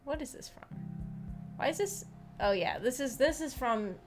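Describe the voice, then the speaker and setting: A woman with a fairly high voice hosts a casual live stream. She rambles and thinks aloud with a giggly manner as she talks to viewers. Soft music plays behind her. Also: expressive, normal speed. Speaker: streamer hosting a visual novel book club. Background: background music.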